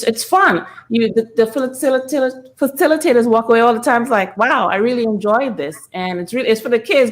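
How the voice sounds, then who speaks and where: A middle-aged woman, explaining earnestly and conversationally as an interview guest. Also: fairly high pitch. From Trinidad. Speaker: podcast guest, speaker and nonprofit founder.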